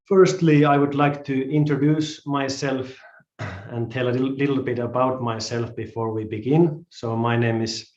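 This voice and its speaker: A man from Finland with a Finnish accent, introducing a live-streamed class as an instructor, speaking hesitantly with pauses. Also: mid-range voice, steady tone, darkish tone. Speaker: yoga and calisthenics instructor. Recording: roomy, slightly dull live-stream audio.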